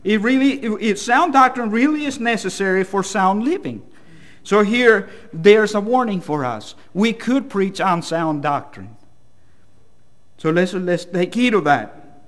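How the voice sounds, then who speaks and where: A man's voice: high-pitched, sing-song, a little slow, fairly bright tone. A man from El Salvador with a Salvadoran Spanish accent, preaching a sermon in a plain-spoken, somewhat hesitant and self-deprecating manner.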